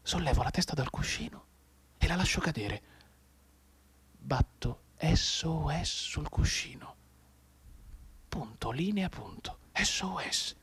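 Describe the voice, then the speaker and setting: Husky, anguished bedridden man. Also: on the high side, expressive, slow pace, pauses often, heavily acted. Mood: weary, resigned. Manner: intense, swinging between hushed brooding and anguished urgency. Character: mute, bedridden wounded man desperately tapping out Morse code. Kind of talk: dramatic radio monologue.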